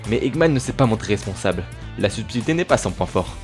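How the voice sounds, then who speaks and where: A woman's voice: a bass voice, lively intonation, fast, darkish tone, soft background music. A woman voicing a cocky, carefree young male hedgehog hero in a French comic dub, breezy and self-assured. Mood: easygoing.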